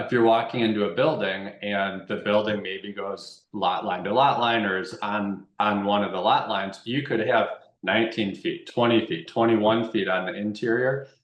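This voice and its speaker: A man explaining things informally as a guest expert, repeating phrases for emphasis. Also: lowish voice. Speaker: guest real estate investment expert. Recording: roomy, echoey sound.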